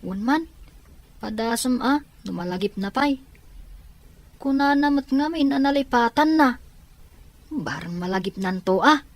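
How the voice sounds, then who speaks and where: A woman's voice: high-pitched, lively intonation, a little slow, pauses often. A woman playing a protective, cautious young boy, an older brother in an audio drama. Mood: cautioning. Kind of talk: amateur audio drama acting.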